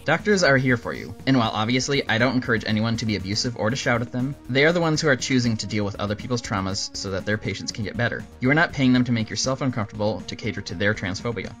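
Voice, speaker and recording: Fast-talking, deep-voiced deadpan man over music. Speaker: host answering viewer questions. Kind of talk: scripted Q&A narration with deadpan jokes. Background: music under the voice.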